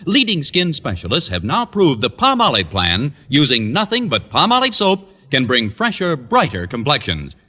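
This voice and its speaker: A man working as a radio announcer, delivering a punchy, emphatic sales pitch in 1940s advertisement style. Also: wildly swooping pitch, moderate pace, hardly any pauses, bright, forceful tone, highs cut off. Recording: reverberant old 1940s radio broadcast.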